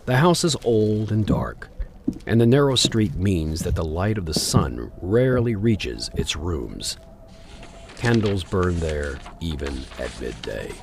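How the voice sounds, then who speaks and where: A man narrating a Victorian tale in a deliberate, theatrical storytelling style, broken into short dramatic phrases. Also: low-pitched, gravelly, darkish tone, slight background noise.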